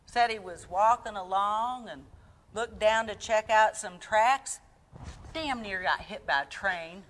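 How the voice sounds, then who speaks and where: A woman's voice: medium pitch, takes it slowly, a bit bright. A woman playing a chatty, folksy cook giving a comic cooking demonstration full of rambling asides, with faint noise behind. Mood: deadpan, gossipy. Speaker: guest cook on a show.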